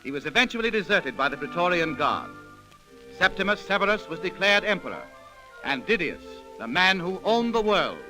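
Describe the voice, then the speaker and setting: A man's voice: sits high, big pitch swings, moderate pace, over music, low-quality recording. A man narrating a scripted program in a dramatic, emphatic 1930s radio style. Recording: vintage 1930s radio recording.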